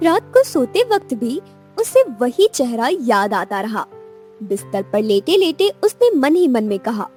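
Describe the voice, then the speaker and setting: A woman narrating a scripted romantic audio story in an expressive storytelling manner. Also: on the high side, a little slow, faint music behind.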